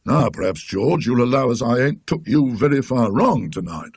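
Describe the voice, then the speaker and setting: Bloke putting on a bossy british tram driver for an old ghost story, blunt, working class, husky, raised pitch. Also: male voice, expressive, moderate pace, a little mellow, dry recording, clean studio sound. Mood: self-satisfied. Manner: brusque, colloquial.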